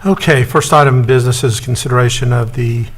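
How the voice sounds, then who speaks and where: A man serving as mayor, chairing a city council meeting and giving formal remarks in a measured way, with fillers and pauses. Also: mid-range voice, steady tone, husky.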